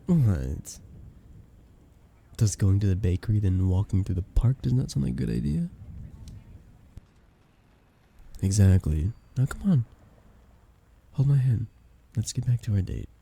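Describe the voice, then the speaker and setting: Romance ASMR roleplay: shy, tender best friend in love, played by a man, gravelly and lively in pitch, hesitant delivery. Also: moderate pace, long pauses, full character voice. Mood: playful, affectionate.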